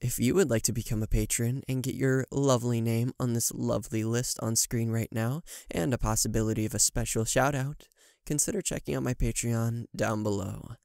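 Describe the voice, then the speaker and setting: A man's voice: medium pitch, fairly even intonation, fairly quick, gravelly, a little mellow, no room sound, studio quality. A man hosting his channel, casually thanking and addressing his supporters. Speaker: voice actor and channel host. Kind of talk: casual outro-style thanks to patrons.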